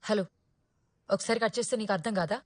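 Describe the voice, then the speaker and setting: An actress playing a timid but sharp young working woman in naturalistic film dialogue. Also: medium pitch, fast talker, no echo, natural voice. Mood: teasing. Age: young adult.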